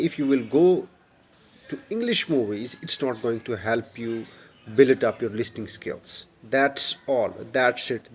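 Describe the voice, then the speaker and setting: A man's voice: medium pitch, darkish tone, a little hiss or hum. A man in his thirties from Pakistan, whose first language is Urdu, speaks English with a Pakistani accent. He gives an earnest, casual lesson straight to a phone camera outdoors, often repeating and restarting words. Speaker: YouTube English tutor. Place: outdoors by a brick wall. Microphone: wired earphone mic, phone at arm's length.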